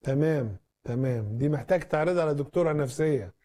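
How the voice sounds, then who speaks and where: A man with an Egyptian accent speaks very quickly in a soft, mellow tone, slightly high for a man. He is a doctor fielding calls on a live medical advice show. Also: animated. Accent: Egyptian Arabic. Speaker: doctor answering callers on a TV phone-in show.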